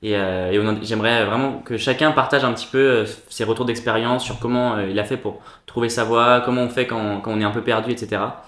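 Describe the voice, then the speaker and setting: A man's voice: mid-range voice, steady tone, quick pace, hardly any pauses, a bit bright. A man hosting a casual round-table chat, hesitant, with many fillers and restarts. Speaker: host. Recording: echoey room.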